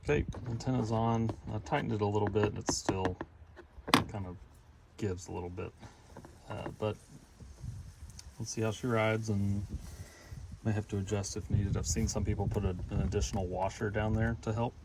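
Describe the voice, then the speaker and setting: A man casually talking through a hands-on install, halting and thinking out loud as he works. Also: lowish voice, fairly even intonation. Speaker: DIY vehicle install presenter. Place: in and around a parked pickup truck. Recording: noisy vehicle sound with clicks.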